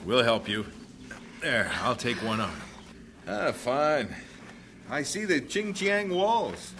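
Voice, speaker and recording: A patient, teasing missionary husband in a radio drama. His voice is gentle and reassuring and moves up and down in pitch. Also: lively intonation, takes it slowly, background noise. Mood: encouraging. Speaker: missionary husband, then announcer. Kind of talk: 1950s-style radio drama acting.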